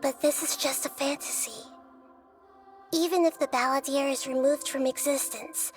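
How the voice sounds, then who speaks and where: A woman plays a gentle little goddess with a high, youthful voice, wise beyond her size. The lines are measured and earnest video game dialogue, with music playing underneath. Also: steady tone, close to an everyday voice. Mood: calm candour. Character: small, wise, gentle childlike female deity.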